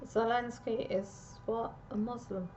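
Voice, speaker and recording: A woman giving a casual, meandering psychic reading, pausing and restarting often, on a dull lo-fi recording. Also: a little high, steady tone, a little mellow, slight background noise. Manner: meandering, with frequent restarts and pauses. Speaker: psychic reader.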